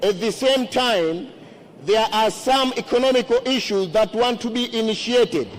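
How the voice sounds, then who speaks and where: A man with a Tanzanian accent, a native Swahili speaker, delivering a formal campaign speech in a deliberate, repetitive manner. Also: very high-pitched, a little hiss or hum. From Zanzibar, Tanzania. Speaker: member of parliament, candidate.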